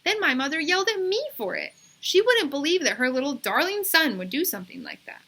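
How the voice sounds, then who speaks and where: A woman's voice: sits high, very animated, fairly quick, bright, forceful tone, voice pushed up, heavily acted. A woman voicing an eager, indignant little schoolgirl in a storybook, exclaiming and complaining plaintively. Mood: aggrieved complaining.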